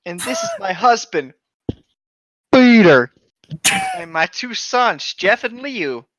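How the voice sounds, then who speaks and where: A man's voice: high voice, very animated, slow pace, fairly bright tone, no room sound, pitched above the performer's own voice, full character voice. A man voicing a polite suburban mother in an amateur story reading, on a dull microphone. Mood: polite, formal. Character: polite suburban mother introducing her family.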